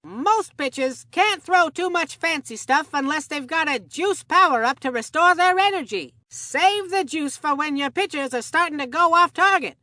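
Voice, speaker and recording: An excitable kid sports announcer from a cartoon baseball game. The voice is very high and bright, with a close, dry sound. The delivery is folksy and gushing, full of old-fashioned ballpark slang. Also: female voice, moderate pace, hardly any pauses, no echo. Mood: folksy, advising. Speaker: sports commentator. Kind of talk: scripted game sports commentary.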